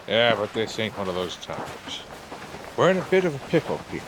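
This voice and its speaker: A man playing a gruff, wry older treasure hunter with folksy slang in an audio drama. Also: on the low side, big pitch swings, moderate pace, noisy background.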